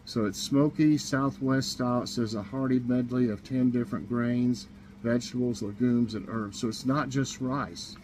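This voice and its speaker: A man's voice: mid-range voice, steady tone, moderate pace, darkish tone, slight background noise, rough recording. Chatty middle-aged man rambling to camera. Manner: rambling, chatty, many ums and restarts. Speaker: vlogger showing a grocery haul. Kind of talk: casual chat to camera. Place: small kitchen indoors.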